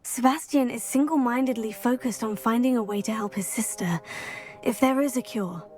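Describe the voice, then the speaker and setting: An actress playing a calm, polite young student witch who speaks in a composed way. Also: a little high, normal speed, lightly acted. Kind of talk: video game cutscene acting.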